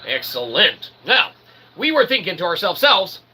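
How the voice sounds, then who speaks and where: A man's voice: high voice, big pitch swings, normal speed, sharp tone, a little hiss or hum. A man hosting a comedy show in a rambling monologue, with wordy mock-formal phrasing and theatrical showman delivery. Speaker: host of a comedy philosophy show.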